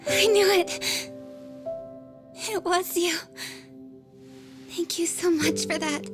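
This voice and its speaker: A high, youthful voice of a bullied schoolgirl in a video game drama. She is hesitant and earnest, with instrumental music clearly behind her. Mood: grateful. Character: shy, bullied teenage schoolgirl, earnest and vulnerable. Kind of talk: English video game dub acting. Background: instrumental background music.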